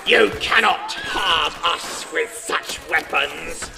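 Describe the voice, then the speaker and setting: An actor playing a cold, imperious feathered alien invader in an audio drama, gravelly and harsh, speaking slowly in formal, pompous phrases. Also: mid-range voice, noisy background. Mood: defiant fury.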